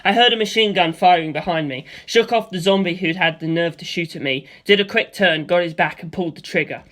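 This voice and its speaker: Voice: mid-range voice, brisk, a bit bright. First-person storyteller with spoken sound effects. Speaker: narrator. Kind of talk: first-person audiobook narration.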